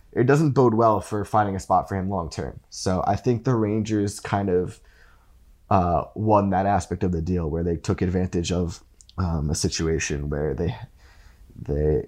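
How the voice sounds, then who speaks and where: Male guest, very low voice, just over an illness, rambling with lots of fillers. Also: darkish tone. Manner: rambling, full of fillers and restarts. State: recovering from an illness, coughing. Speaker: sports writer guest. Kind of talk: casual sports podcast chat.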